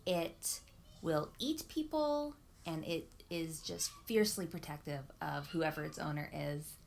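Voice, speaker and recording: Unhurried woman chatting about favourites. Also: low-pitched, vocal fry, slight background noise. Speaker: book vlogger. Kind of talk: casual chat to camera.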